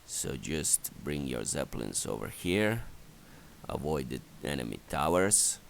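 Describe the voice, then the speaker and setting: A man with a very deep, creaky voice narrates a game walkthrough. He speaks slowly and haltingly, laying out instructions one step at a time. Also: very animated. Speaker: video game walkthrough commentator. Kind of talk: instructional strategy game commentary. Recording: clearly noisy voice recording.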